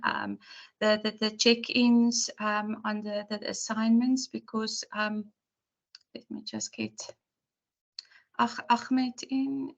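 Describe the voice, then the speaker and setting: A woman gives an online university lecture in a hoarse, croaky voice with a gravelly edge. She pitches a little high for a woman and talks in a chatty, rambling way, often apologizing. She is heard over a video call. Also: fairly bright tone.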